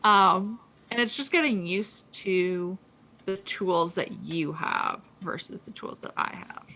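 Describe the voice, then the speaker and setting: A woman co-hosting a casual podcast, chatting quickly with lots of fillers and restarts. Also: on the low side, bright, forceful tone, slight background noise. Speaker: co-host. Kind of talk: casual gaming podcast chat.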